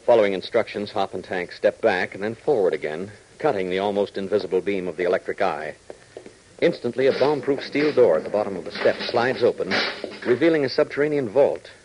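A man's voice: fairly low pitch, slight background noise, low-quality recording. A man with an American accent reading scripted 1940s radio narration in a polished, dramatic announcer's delivery.

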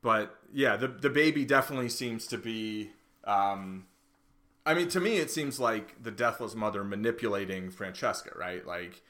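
Casual podcast co-host, man, bright tone, hesitant, laughs easily. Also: on the low side, normal speed. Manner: hesitant, restarts and laughs often. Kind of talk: casual chat.

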